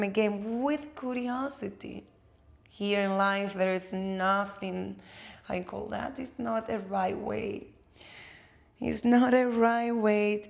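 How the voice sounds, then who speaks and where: A Spanish-speaking woman from Cuba teaches yoga in accented English, calling out poses and breaths with soft, playful warmth. Her delivery is very slow and deliberate, and her voice has a noticeable gravelly fry. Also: mid-range voice. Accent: Cuban Spanish. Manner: slow, soothing cues with playful asides. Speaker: yoga instructor. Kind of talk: guided yoga class cues.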